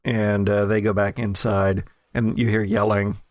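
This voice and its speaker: A man narrating a tabletop game as game master, casual and jovial, with a creaky voice. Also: on the low side, level tone, unhurried, a little mellow, dry recording, studio quality.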